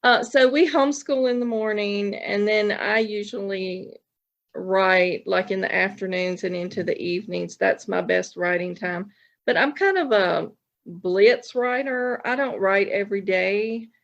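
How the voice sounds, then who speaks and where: Female podcast guest, medium pitch, loose rambling chat, dull lo-fi sound. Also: unhurried, vocal fry. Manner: informal, rambling, self-interrupting. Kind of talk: casual chat.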